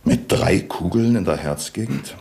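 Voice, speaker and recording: Barschen Kommissar mit lebhafter Stimme. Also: male voice, low-pitched, animated, measured pace, vocal fry, a little mellow, husky, exaggerated voice. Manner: brusque, commanding. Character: gruff middle-aged police commissioner. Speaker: police commissioner. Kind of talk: 1970s radio drama acting.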